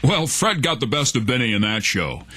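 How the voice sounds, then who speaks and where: A man's voice: medium pitch, sing-song, normal speed, a loud crowd, heavy background noise. A man co-presenting a program with scripted narration.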